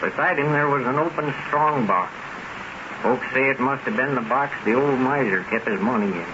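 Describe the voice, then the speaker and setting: A man's voice: mid-range voice, normal speed, close to an everyday voice. An actor playing a folksy, plain-spoken local man with a grave, matter-of-fact delivery in an old-time radio drama. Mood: grave gossip. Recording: noisy, dull vintage radio recording.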